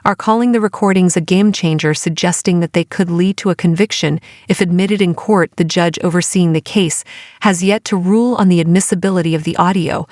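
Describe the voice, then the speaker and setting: A woman's voice: fairly low pitch, brisk, a little mellow, no room sound, clean studio sound. A woman reading scripted news narration with dramatic storytelling phrasing.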